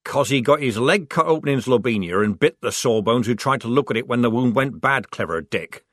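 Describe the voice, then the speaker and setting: A man's voice: medium pitch, lively intonation, brisk, a bit bright, no room sound, studio quality. A man reading an audiobook, voicing a cocky, streetwise young recruit who talks brashly and mockingly.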